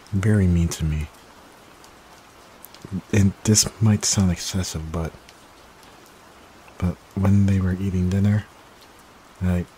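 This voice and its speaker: A man playing a timid, gentle young catboy in an ASMR roleplay, speaking hesitantly with stammers. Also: low voice, low-quality recording. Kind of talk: soft-spoken ASMR roleplay. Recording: steady rain noise behind voice. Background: rain.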